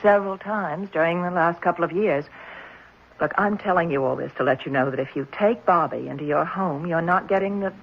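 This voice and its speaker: A matter-of-fact orphanage matron, muffled recording. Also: female voice, fairly low pitch, fairly quick, slightly rough. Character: stern, matter-of-fact female orphanage administrator. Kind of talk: 1970s radio drama acting. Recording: muffled, noisy vintage radio recording.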